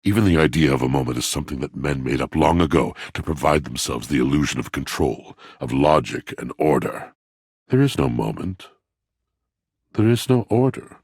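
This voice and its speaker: A very low, rough-edged male game character, a lovelorn lover of poetry. He speaks in grand, florid swells with theatrical pauses. The sound is close and dry. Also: expressive, a little mellow, dry recording, heavily acted. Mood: musing, melancholy. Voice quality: deep, gravelly. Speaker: voice actor in a video game.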